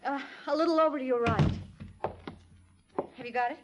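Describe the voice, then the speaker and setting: Actress as high-voiced, nervous young woman client. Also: moderate pace, background noise, natural voice. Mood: defensive, reluctant. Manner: hesitant, stammering, indignant when pressed. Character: nervous, evasive, defensive young female detective's client. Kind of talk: 1940s radio drama acting.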